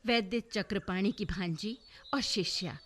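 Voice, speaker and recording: A woman's voice: mid-range voice, measured pace, a little hiss or hum, close to an everyday voice. An actress playing a modest young woman physician in a Hindi historical radio drama, deferential and hesitant.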